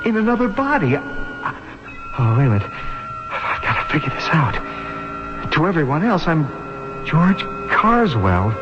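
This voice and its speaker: Anguished determined man in 70s radio play, agitated and halting, muffled tape. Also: high voice, exaggerated voice. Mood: anguished, dazed. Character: anguished, determined man reborn in another man's body. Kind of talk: 1970s radio drama acting. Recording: dull 1970s radio recording.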